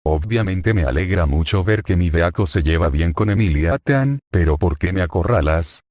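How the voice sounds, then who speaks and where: A man's voice: deep voice, expressive, normal speed, darkish tone, no room sound, clean studio sound. A man reading a cheerful young hero. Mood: joking. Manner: jokey, then pleading.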